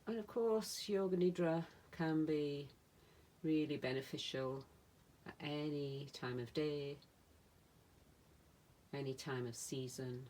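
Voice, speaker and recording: A woman meditation teacher leading a guided relaxation in a soothing manner, speaking in short phrases with long pauses. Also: low-pitched, darkish tone, a little hiss or hum. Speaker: yoga nidra meditation teacher.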